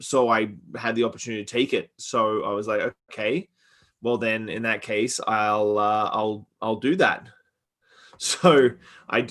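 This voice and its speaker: A man's voice: on the low side, fairly bright tone. A man who grew up in Australia, hosting a podcast in casual chat and rambling with many fillers and restarts. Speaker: podcast host. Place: Victoria, Canada.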